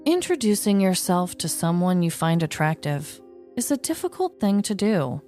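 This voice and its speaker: A young adult woman co-hosting a podcast, chatting casually and telling a story, animated with quick laughing asides. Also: on the low side, faint music behind. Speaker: podcast co-host. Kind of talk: casual chat and scripted storytelling.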